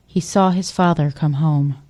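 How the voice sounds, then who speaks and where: A woman narrates an audio drama from a script. Her voice is dark and mellow, pitched slightly low. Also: steady tone, moderate pace.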